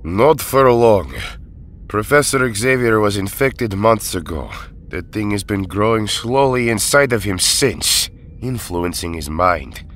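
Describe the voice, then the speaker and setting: A man playing a grave hero who speaks in a grim, low-key way in an audio drama. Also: animated, a little slow, fairly bright tone, a little hiss or hum.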